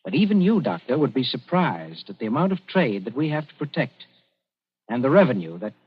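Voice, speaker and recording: An actor playing a shrewd, composed young colonial official in a 1940s radio drama, speaking in a measured, dryly ironic way. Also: male voice, medium pitch, big pitch swings, breathy edge. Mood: confiding. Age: young adult. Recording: dull, muffled 1940s radio recording.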